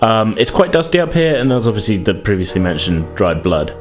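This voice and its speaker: A man's voice: on the low side, big pitch swings, fairly quick, soft background music. A man narrating a tabletop game as game master in a hesitant, self-correcting, conversational way.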